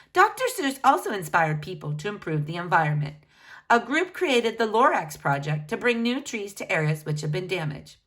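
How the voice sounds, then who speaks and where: Woman reading to children, very lively intonation. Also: mid-range voice. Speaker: read-aloud storyteller for children. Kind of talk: reading a children's biography picture book aloud.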